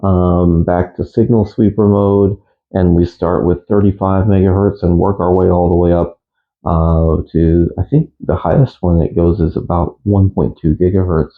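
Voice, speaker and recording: Male hobbyist presenter, low-pitched, casual and unscripted, halting delivery, slightly dull lo-fi recording. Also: fairly even intonation. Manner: halting, unscripted, matter-of-fact. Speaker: amateur radio hobbyist presenter. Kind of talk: casual gear review.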